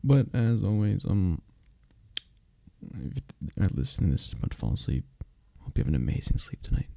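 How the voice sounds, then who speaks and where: Deep, gravelly man rambling casually. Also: sing-song, fairly quick, dark tone, no room sound. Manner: apologetic, encouraging. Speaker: audio roleplay creator. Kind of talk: casual rambling sign-off to listeners.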